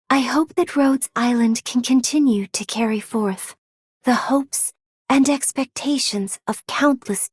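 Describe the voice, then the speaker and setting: A gentle, earnest female game character. Her voice is slightly high and very breathy, taking its time with soft, heartfelt formality. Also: no room sound, studio quality. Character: gentle, tender, earnest woman full of hope.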